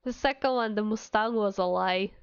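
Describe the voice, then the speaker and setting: A woman's voice: medium pitch, normal speed, clear, resonant tone, dry recording, lo-fi sound. A woman livestreamer chatting casually with viewers in a playful, mock-indignant, giggly manner. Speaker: streamer, VTuber.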